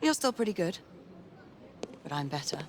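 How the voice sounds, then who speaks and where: A confident lady knight in a game cinematic. Her delivery is very lively, poised and playful yet assertive, with slight background noise. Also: fairly low pitch, moderate pace. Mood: teasing. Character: confident female knight, teasing, tough, capable. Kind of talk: video game cinematic acting.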